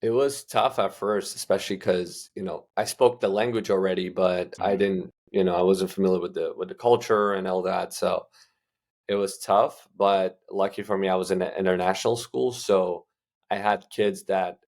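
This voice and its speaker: Young male guest, from Uzbekistan, deep and gravelly, relaxed rambling answers. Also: moderate pace. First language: Russian. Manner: loose, filler-heavy, many restarts. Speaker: guest entrepreneur. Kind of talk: casual interview answers.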